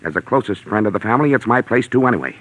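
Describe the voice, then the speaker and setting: A man playing a driven, commanding gentleman with formal, forceful diction in 1940s radio drama. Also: fairly low pitch, expressive, very fast, low-quality recording. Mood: indignant, determined.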